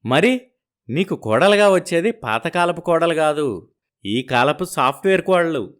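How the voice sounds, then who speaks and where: A man's voice: fairly high pitch, sing-song, unhurried, a bit bright. An actor playing a grumbling, sceptical middle-aged husband and father in a cartoon story, teasing and sardonic.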